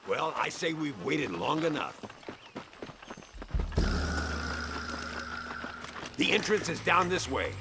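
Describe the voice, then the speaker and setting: Gravelly, gruff American cowboy. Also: male voice, on the high side, sing-song, normal speed, background noise. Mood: steady. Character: gruff, determined older American cowboy gunslinger. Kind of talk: video game voice acting.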